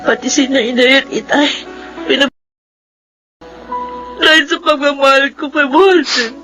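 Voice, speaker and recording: A woman's voice: high-pitched, unhurried, pushed and bright, soft background music, poor audio. A woman with a Filipino accent playing a proud, emotional young mistress and daughter in a radio drama. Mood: tearful, regretful.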